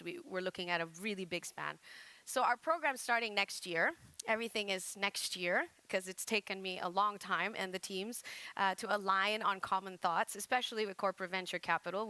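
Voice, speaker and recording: A middle-aged woman from Malaysia giving a conference presentation, talking fast with lots of jargon, fillers and restarts. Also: medium pitch, bright, forceful tone, smooth, dry recording. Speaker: conference speaker.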